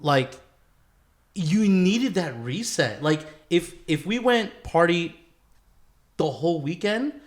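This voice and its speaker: A man's voice: fairly high pitch, expressive, slow pace, pauses often. A young man, a podcast host, chatting casually with friends in a boisterous, slangy way with plenty of swearing. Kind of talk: casual chat between friends.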